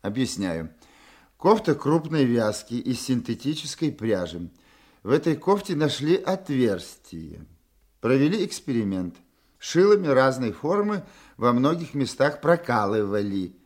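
An actor playing an authoritative older police colonel in a radio drama, explaining firmly and with a slightly wry edge. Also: male voice, mid-range voice, very animated, measured pace, darkish tone. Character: authoritative veteran male police colonel, exacting boss. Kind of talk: Russian radio drama acting.

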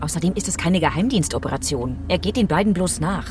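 An actress playing a matter-of-fact female detective in a radio drama, probing and gently needling. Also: on the low side, animated, fast, noisy background, natural voice. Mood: reassuring, needling. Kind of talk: German radio drama dialogue.

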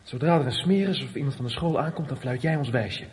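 A man's voice: medium pitch, quick pace, darkish tone, close to an everyday voice. A man playing a bullying, menacing young gang leader in a radio play, commanding and sneering. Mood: brisk, commanding.

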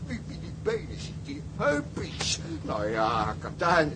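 A man's voice: fairly high pitch, wildly swooping pitch, unhurried. A male actor playing a lovesick, hot-headed ship's stoker who stammers indignantly in an audio drama. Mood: pleading. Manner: stammering, pleading. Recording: clearly noisy audio track.

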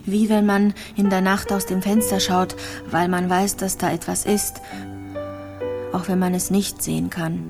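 An actress playing a gravely ill young woman in a radio drama, speaking softly and haltingly, melancholic and introspective. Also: mid-range voice, flat delivery. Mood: pensive. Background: music.

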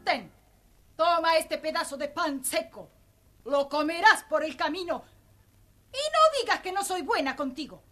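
A woman playing a wicked, theatrical stepmother with a drawn-out delivery in a children's audio fairy tale. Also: very high voice, animated, sharp tone, soft background music, full character voice. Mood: scornful. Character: wicked, scornful stepmother, syrupy with her own daughter. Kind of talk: scripted children's audio storybook acting.

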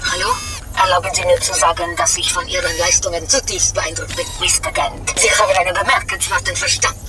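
Formal electric philosopher woman over loud music actress. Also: on the low side, fairly even intonation, fairly quick, natural voice. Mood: admiring. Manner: precise, formal, polite. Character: formal, logical electric replica of a female philosopher. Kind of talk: German radio play acting.

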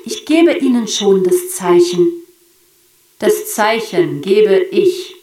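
A woman's voice: medium pitch, animated, slow pace, mellow, slightly rough, poor audio. An actress playing a bitterly ironic, evasive woman messenger reporting horrors in a theatrical, sardonic radio drama monologue.

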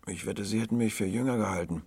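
A man voicing a frail, chatty eighteen-year-old vagrant boy with a hoarse, breathless voice, as in a storybook reading. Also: on the low side, fast talker, a little mellow, pitched above the performer's own voice. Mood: boastful, chatty.

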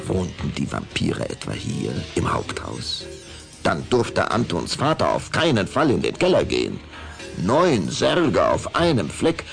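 A man narrating a scripted radio play with suspenseful, deliberate, dramatic storytelling. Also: on the low side, creaky.

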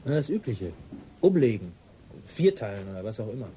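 An actor playing a cheeky, self-assured junior police detective in a radio drama, bantering and laconic. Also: male voice, on the low side, big pitch swings, a little fast, mellow, a little hiss or hum, low-quality recording. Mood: matter-of-fact. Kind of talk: naturalistic radio drama dialogue.

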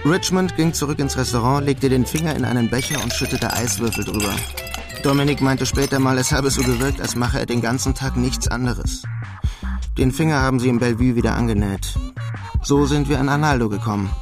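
A man plays a streetwise immigrant narrating a radio crime drama. He is laconic and dryly funny, with a mid-pitched voice. Music runs clearly behind him. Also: a little fast, natural voice. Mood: wry, reflective. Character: wry streetwise German immigrant in Queens, narrating his life.

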